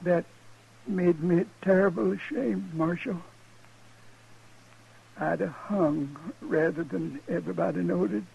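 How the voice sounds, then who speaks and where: An actor playing a dying, ashamed American frontier man, weak and halting, with a husky, creaky voice in 1950s radio western style. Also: high-pitched, animated, dark tone, heavily acted. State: mortally wounded, failing breath. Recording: muffled old radio recording.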